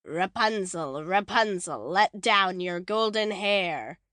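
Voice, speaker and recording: A woman playing an imperious old witch in a fairy-tale audiobook, speaking slowly and deliberately. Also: medium pitch, sharp tone, no echo, clean studio sound. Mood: calling out. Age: older. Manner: slow, deliberate, imperious delivery. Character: wrathful old witch, menacing and bargaining.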